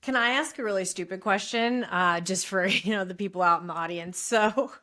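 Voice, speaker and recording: A woman co-hosts a podcast in a middle-pitched voice. She asks long, rambling questions and pokes fun at herself. The tone is casual chat. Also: brisk, pushed and bright. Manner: self-deprecating, rambling questions. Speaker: podcast co-host.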